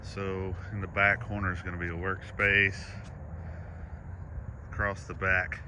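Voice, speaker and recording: A man with a stuffy, congested nose, giving a casual, easygoing walkthrough outdoors on a rural property. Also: deep voice. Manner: easygoing, matter-of-fact, rambling. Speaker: vlogger. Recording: outdoor noise behind the voice.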